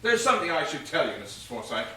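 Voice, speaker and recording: A man's voice: a little high, big pitch swings, fast, sharp tone, a little hiss or hum, exaggerated voice. An actor on stage playing a veteran police inspector, stern, formal and exasperated as he interrogates a suspect. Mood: dry, probing. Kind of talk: stage comedy acting. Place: stage of a theatre. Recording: echoey theatre, distant mic.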